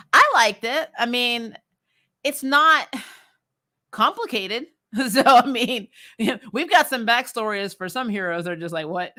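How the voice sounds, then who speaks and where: Animated lady doing a chatty fan livestream, really bright punchy voice, giggly, opinionated. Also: medium pitch. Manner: animated, opinionated, emphatic repetition; giggly, amused. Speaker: host of a fan recap show. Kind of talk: casual livestream chat, reading and answering viewer comments.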